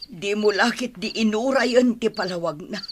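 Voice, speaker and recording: A woman's voice: mid-range voice, normal speed, lightly acted. An actress playing a worried, grieving mother in an Ilocano radio drama, plaintive and insistent. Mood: worried, reproachful. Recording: clear noise, night insects.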